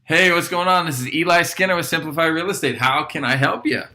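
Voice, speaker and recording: A man with an American accent role-playing an excited, friendly real estate agent on a sales call, gushing and chummy. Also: fairly quick. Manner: gushing, chummy, high-energy.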